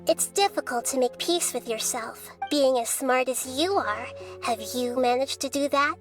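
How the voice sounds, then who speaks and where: A woman playing a wise, composed young goddess in a video game, speaking calmly, deliberately and formally. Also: sits high, over music, close to an everyday voice. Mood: calm, resolute. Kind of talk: scripted game dialogue.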